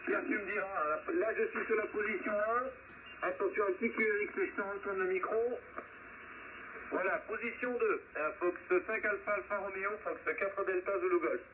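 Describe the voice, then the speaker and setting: A high-voiced man comes through a narrow, tinny two-way radio link. He runs a ham radio round table in a methodical, chummy way, speaking into a hand mic over light noise. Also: fairly even intonation, moderate pace. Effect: two-way amateur radio transmission. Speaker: amateur radio net host. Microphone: handheld radio microphone.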